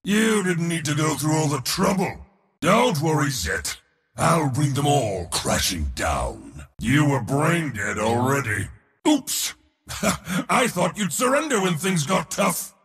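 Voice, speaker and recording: A swaggering god of war from a video game, a man hungry for battle and very pleased with himself. His fairly high male voice has a gravelly edge and swings hugely in pitch. The delivery is grand, boastful and theatrical. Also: big pitch swings, takes it slowly, fairly bright tone, big-room sound, exaggerated voice. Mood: contemptuous, gloating. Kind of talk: video game character voice lines.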